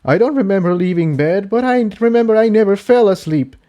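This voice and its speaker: Casting: tormented young unicorn stallion, voiced by a man, raised pitch, heated exclamations, volatile swings, storybook fantasy. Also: animated, a little fast, mellow, highs cut off. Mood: frustrated. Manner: agitated, exclaiming, argumentative. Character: anguished young male unicorn pony, angry and tormented.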